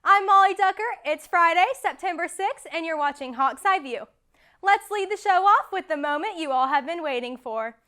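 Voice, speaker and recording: A teenage girl anchoring a student-run school newscast, reading scripted news in a brisk, upbeat way. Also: very high voice, expressive, sharp tone. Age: teens. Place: school studio.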